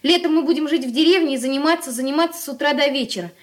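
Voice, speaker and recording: Radio drama: eager young daughter, played by a woman, high-voiced, earnest and quick, echoey room. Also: close to an everyday voice. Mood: earnest reading. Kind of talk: radio play acting. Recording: roomy, echoing radio studio recording.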